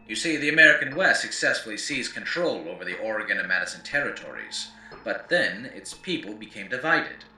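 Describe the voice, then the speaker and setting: Man acting forceful, theatrical post-apocalyptic chronicler. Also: on the low side, echoey room, far from the mic, a little hiss or hum, rough recording. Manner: theatrical, formal, measured oratory with dramatic emphasis. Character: grave, eloquent male chronicler of a post-apocalyptic world. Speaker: narrator and host. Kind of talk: scripted in-character lore narration for an audio series.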